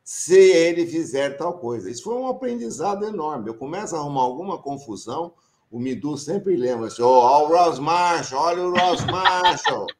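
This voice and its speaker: A man's voice: fairly high pitch, animated, moderate pace. A middle-aged Brazilian man with a São Paulo countryside accent, a professor reminiscing casually, laughing often and acting out quoted dialogue. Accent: Brazilian Portuguese, São Paulo countryside. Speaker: professor and association founder.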